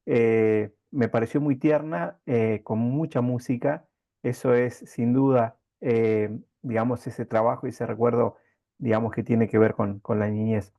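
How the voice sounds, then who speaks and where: A man interviewing in a conversational way, speaking haltingly and reflectively in circling phrases. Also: mid-range voice, fairly even intonation, muffled recording.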